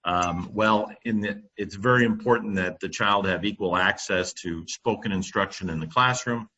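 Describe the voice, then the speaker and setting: A middle-aged man giving a presentation over a computer microphone, halting often with ums and restarts. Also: fairly low pitch, bright, forceful tone, muffled recording. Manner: halting, many fillers and restarts. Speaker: company presenter on classroom audio. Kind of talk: webinar presentation.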